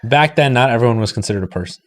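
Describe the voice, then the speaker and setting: Wry guy co-hosting a stream, medium pitch, casual joking chat. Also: lively intonation, racing. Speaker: livestream co-host.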